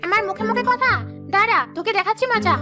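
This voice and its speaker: A woman's voice: extremely high pitch, fast. A woman voicing a harsh village stepmother in a children's cartoon, scolding and sarcastic. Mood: angry, threatening. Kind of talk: children's fairy-tale cartoon dubbing. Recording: music under the voice.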